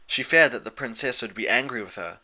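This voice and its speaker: A man's voice: medium pitch, a little fast, sharp tone. A man reading scripted story narration as an audiobook narrator.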